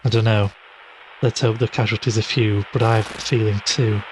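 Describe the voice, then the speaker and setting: A man's voice: lowish voice, steady tone, moderate pace, darkish tone, slight background noise, natural voice, rough recording. A man acting in an audio drama as a curious, caring podcast host, earnest and inquisitive, given to explaining things. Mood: earnest, focused. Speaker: podcast host character and credits reader.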